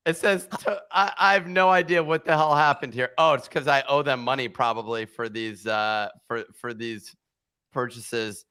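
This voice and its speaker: A man's voice: medium pitch, normal speed, pushed and bright, clear, resonant tone. A man in casual, joking banter as a panelist on a podcast.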